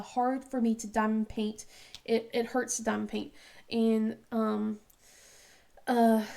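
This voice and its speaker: A woman chatting casually as she works on a craft project, halting with many ums and restarts, sniffly from a cold. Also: on the high side, darkish tone. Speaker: diamond painting vlogger. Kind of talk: casual chat while crafting.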